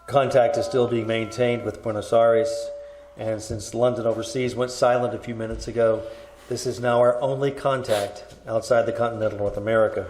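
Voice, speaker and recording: Male actor as formal radio newsreader, measured, grave, mid pitch, echoey, faint noise. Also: level tone, a little mellow, close to an everyday voice. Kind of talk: old-time radio news bulletin acting. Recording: roomy live stage, faint noise.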